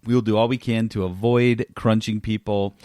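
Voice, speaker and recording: A man's voice: on the low side, little pitch movement, normal speed, dark tone, clean tone, dry recording, studio quality. A man co-hosting a podcast, chatting casually about gaming news and thinking aloud in an informal, emphatic way. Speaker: podcast co-host.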